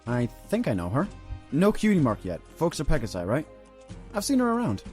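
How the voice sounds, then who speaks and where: Man acting a lively, easygoing shop clerk. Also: on the high side, a little fast, soft background music. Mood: laid-back. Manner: casual, laid-back, folksy. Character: easygoing, defensive male ice cream clerk pony. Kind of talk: fan audio drama acting.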